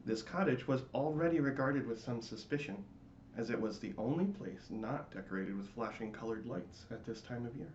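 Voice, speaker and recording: A man giving a theatrical, expressive dramatic reading of a storybook. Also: medium pitch, normal speed. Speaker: storybook reader. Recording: roomy, dull, faintly noisy home recording.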